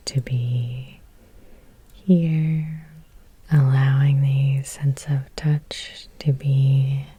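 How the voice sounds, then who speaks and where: Slow, breathy whispering woman. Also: sits low, no room sound. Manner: gentle, soothing, unhurried with long pauses. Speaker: hypnotherapist and ASMR host. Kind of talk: whispered ASMR guided hypnosis meditation. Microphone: close microphone.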